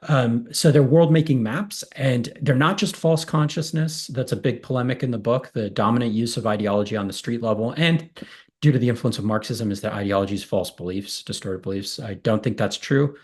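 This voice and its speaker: A husky-toned man in his thirties talks through abstract ideas, piling up lists of terms as he reasons aloud. He is on a video call from a small home room, heard through a cable earphone mic. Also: medium pitch, a little fast, darkish tone. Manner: thoughtful, explanatory, builds long lists. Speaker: guest, political science professor. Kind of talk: academic interview on a video call.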